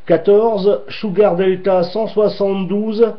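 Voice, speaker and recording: Man, high voice, hesitant repetitive radio-operator chat, dull lo-fi sound. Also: fairly even intonation, takes it slowly. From France. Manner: hesitant, repeats his phrases. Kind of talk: radio contact chat with call signs.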